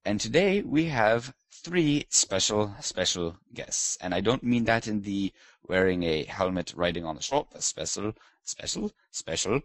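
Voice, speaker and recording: A man's voice: sits low, dry recording, heavily acted. A man playing a pompous British lord who hosts a comedic podcast interview, in a put-on British accent with a mock-posh, teasing manner. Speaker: host.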